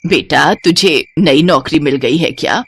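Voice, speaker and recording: A woman puts on an older mother-in-law for an animated story. Her voice drops lower and goes soft, measured and reasoning, recorded very dry. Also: slightly rough, no room sound, exaggerated voice. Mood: gentle, concerned.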